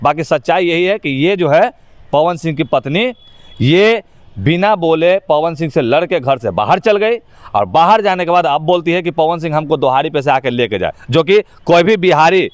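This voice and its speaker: A man's voice: on the high side, animated, normal speed, no room sound. A man with a Bihari accent giving his opinions in an informal interview, speaking emphatically in colloquial, rustic phrasing. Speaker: interview guest, actor.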